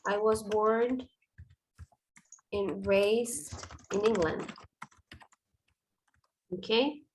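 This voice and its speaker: A woman's voice: mid-range voice, extremely slow, a little hiss or hum, low-quality recording. A woman who is a native Spanish speaker, teaching English online and calling on students in an informal, self-correcting way. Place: online video class.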